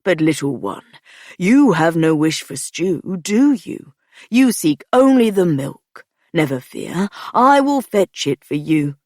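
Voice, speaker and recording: A short male head cook fusses over his guests with eager, soothing flattery, as a woman narrator puts him on. The voice sits lower and a touch louder, with a dry, close sound. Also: slow pace, dry recording. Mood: coaxing.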